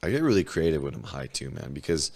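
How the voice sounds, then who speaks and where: A hoarse man in his twenties from New Mexico, a podcast guest telling candid, profane stories in heavy slang. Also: a bass voice, very animated, quick pace, a little mellow. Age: 20s. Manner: laid-back, profane, storytelling, heavy slang. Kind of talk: casual candid interview chat.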